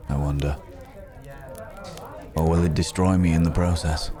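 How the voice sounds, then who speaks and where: A man playing a weary, philosophical cursed werewolf in an intimate ASMR roleplay, musing in courteous, old-fashioned words with long, hesitant pauses. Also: rumbling low, fairly even intonation. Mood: wistful, doubtful. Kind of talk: slow intimate ASMR audio roleplay acting. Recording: background crowd murmur.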